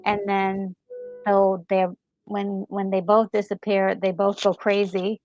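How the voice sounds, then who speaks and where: A woman's voice: medium pitch, little pitch movement, takes it slowly, darkish tone, highs cut off, faint music behind. A woman in casual, reflective chat as a gaming co-host, hesitating and repeating words as she gathers her thoughts. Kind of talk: casual chat reviewing a game story.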